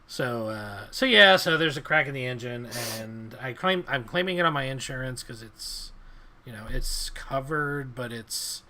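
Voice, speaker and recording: Laid-back man, bright voice. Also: mid-range voice, expressive, unhurried, slight background noise. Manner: laid-back, laughs often while telling stories. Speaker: podcast co-host. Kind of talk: casual chat.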